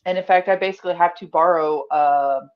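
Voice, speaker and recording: A woman with a fairly deep voice, chatting casually as a guest about working event security. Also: a little fast. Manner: wry, chatty storyteller. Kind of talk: casual chat. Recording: dull, lo-fi audio.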